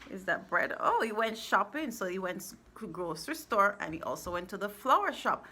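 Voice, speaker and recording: A woman's voice: medium pitch, big pitch swings, a little fast, sharp tone, husky. A woman reading a picture book aloud to her young child in a casual, encouraging way, chatting and echoing the child's words. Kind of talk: casual interactive story time read-aloud.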